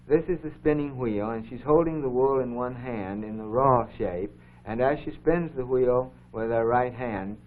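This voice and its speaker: A man giving formal, measured explanatory commentary as co-host of an educational program, on a 1950s broadcast recording. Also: medium pitch, phone-quality sound. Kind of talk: unscripted explanatory commentary over film footage.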